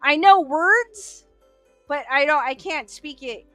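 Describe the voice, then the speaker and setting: Stream host, female, high and bright, dry close sound, faint music under, chatty and quick to laugh. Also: dry recording. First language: Korean as early childhood language. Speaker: livestream host. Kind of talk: casual chat reading live comments.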